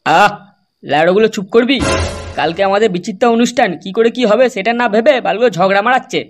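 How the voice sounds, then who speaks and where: A man's voice: sits high, fast talker, over music, lightly acted. A man voicing a bossy, foul-mouthed young club leader who scolds brashly in a comedy cartoon. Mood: bossy, scolding.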